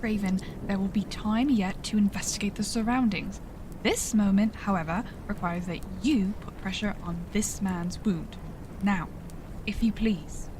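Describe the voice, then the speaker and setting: Female actor as commanding healer, composed, clipped formal orders, medium pitch, noisy ambience, horror drama. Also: measured pace, close to an everyday voice. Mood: stern. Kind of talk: gothic horror audio drama acting.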